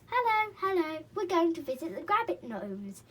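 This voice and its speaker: A woman's voice: very high-pitched, big pitch swings, normal speed, fairly bright tone, far from the mic, a little hiss or hum, pitched above the performer's own voice, heavily acted. A woman reading aloud and voicing a small, nervous, clever male pixie in a children's storybook.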